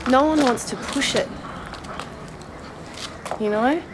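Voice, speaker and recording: A woman's voice: high-pitched, takes it slowly, noisy background. A woman playing a jaded, opinionated vegetarian zombie in a comedy film, talking in a sardonic, sweary, conversational rant. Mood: disillusioned, earnest. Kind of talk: naturalistic short-film comedy acting, mock interview.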